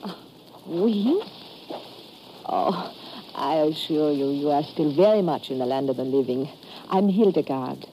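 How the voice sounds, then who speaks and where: A woman's voice: deep voice, lively intonation, measured pace, pauses often, warm tone. An actress playing a polite, helpful, slightly hesitant young woman motorist in a 1950s radio drama. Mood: startled, polite. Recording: noisy old radio drama recording.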